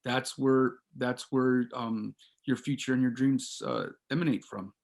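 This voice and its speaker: A man who runs a music company, answering a panel question in a halting way with many restarts. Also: mid-range voice, steady tone, vocal fry.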